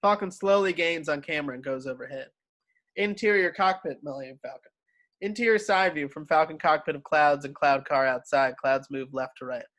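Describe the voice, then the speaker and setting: A man's voice: fairly high pitch, fairly quick, a bit bright. A man reading screenplay stage directions aloud as scripted narration.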